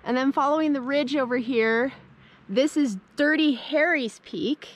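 A woman's voice: high-pitched, unhurried. A young woman vlogging on a hike, chatting with enthusiasm and thinking aloud beside a snowy mountain lake, filmed on a handheld camera. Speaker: hiking vlogger. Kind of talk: casual on-trail narration. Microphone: handheld camera at arm's length.

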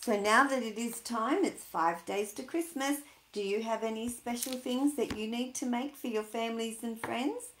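A woman's voice: a little high, moderate pace, few pauses, a little hiss or hum. A woman in her sixties reading and chatting to young children in a sing-song, questioning way. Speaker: storyteller for children. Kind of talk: read-aloud and chat addressed to young children. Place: small indoor room at home. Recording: roomy, echoey home webcam sound.

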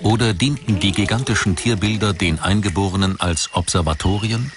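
A man reading scripted documentary narration in a measured, dramatic storytelling manner. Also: on the low side. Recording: music under the voice. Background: background music.

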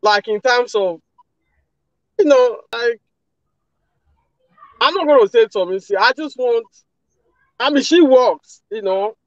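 A Nigerian man in his thirties talking casually as a guest on a live video call, relaxed, with many "you know" fillers. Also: extremely high pitch, a little slow, long pauses, no echo, lo-fi sound. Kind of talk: casual testimonial. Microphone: phone video call.

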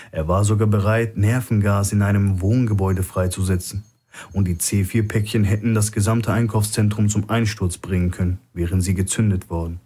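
A man's voice: lowish voice, warm tone, breathy edge. A man reading a scripted thriller as an audiobook narrator.

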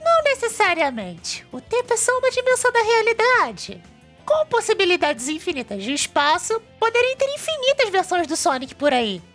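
A woman's voice: very high voice, big pitch swings, moderate pace, fairly bright tone, soft background music, heavily acted. A woman voicing a cheerful, brainy young male fox who presents a cartoon web show, eagerly explaining things with a Brazilian accent. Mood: explanatory.

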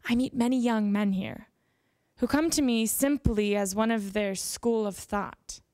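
A young woman giving a measured, formal dramatic reading of letters aloud into a handheld microphone. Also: a little high, mellow, no echo, studio quality. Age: young adult. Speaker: dramatic reader at a library event. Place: indoor meeting room with audience.

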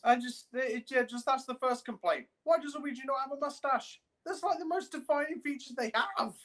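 Young male co-host, noticeably high-pitched, informal and halting, talking over a video call. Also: a bit bright. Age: young adult. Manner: halting, peppers speech with "like". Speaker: podcast co-host. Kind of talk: casual chat. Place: indoor room, video call.

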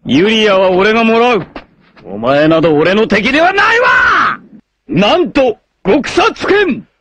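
An actor playing an arrogant, obsessive warlord villain in a 1980s anime, haughty, menacing and theatrical in delivery. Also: male voice, sits high, sing-song, a little slow, fairly bright tone, no room sound, exaggerated voice. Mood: furious, shouting. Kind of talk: dramatic 1980s anime voice acting.

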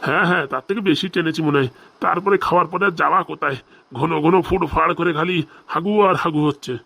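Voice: medium pitch, fast talker, vocal fry, bright, forceful tone. An actor voicing a bumbling, gluttonous old village priest in a comic cartoon, rambling and making excuses in speech. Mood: sheepish, rambling. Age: older. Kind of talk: comic cartoon dialogue in rural Bengali.

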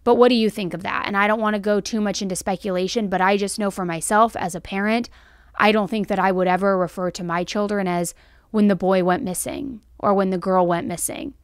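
A woman's voice: mid-range voice, fairly even intonation, a little fast, clear, resonant tone, no room sound. True crime host: American female, 30s, mid-range voice, chatty yet emphatic delivery, tight dry podcast recording. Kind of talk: true crime commentary to camera. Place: small indoor studio set. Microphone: close podcast microphone on stand.

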